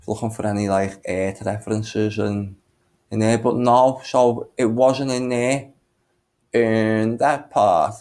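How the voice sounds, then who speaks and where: A man's voice: on the low side, fairly even intonation, slow pace, a little mellow. A man giving casual, rambling commentary as he shares his screen, thinking aloud as he goes. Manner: rambling, thinking aloud, muttering little filler tunes. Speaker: video maker and narrator.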